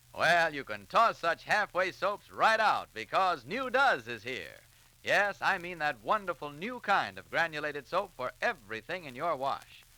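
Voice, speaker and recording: A man with an American accent announcing and pitching in 1940s radio style, emphatic and chummy. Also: bright, forceful tone, poor audio. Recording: vintage 1940s radio recording.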